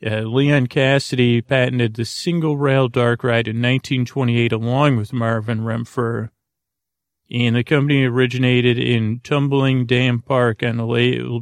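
Male bedtime podcaster, very gravelly and soothing, wandering monologue with long hesitations, recorded dry and close. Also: medium pitch, no room sound. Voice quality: creaky. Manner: meandering, halting, full of pauses; lulling, soothing. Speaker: podcast host.

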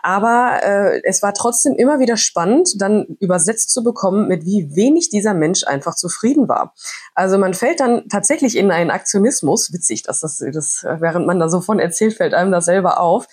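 A woman's voice: mid-range voice, lively intonation, brisk, a little mellow, husky. A woman with a cold hosts a podcast in a chatty, reflective monologue with frequent hesitations. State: has a cold. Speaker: podcast host.

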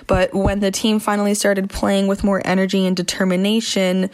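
A woman's voice: mid-range voice, monotone, normal speed, a little mellow. A woman football journalist answering interview questions with articulate, prepared analysis.

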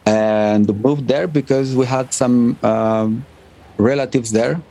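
A man, giving casual interview answers as a DJ in halting, English. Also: mid-range voice, steady tone, vocal fry, mellow. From Albania and northern Greece. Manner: halting non-native English phrasing. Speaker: interview guest, DJ and music producer.

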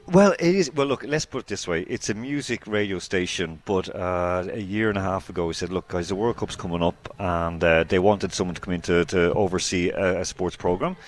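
Deep-voiced man chatting as a guest. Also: a little fast, hardly any pauses. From Ireland. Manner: rambling, self-correcting, many fillers. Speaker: guest broadcaster. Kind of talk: casual interview chat.

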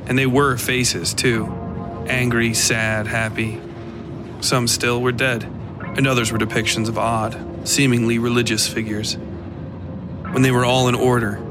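A man with a mid-range voice narrates scripted horror fiction. Music plays clearly behind his storytelling. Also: medium pitch.

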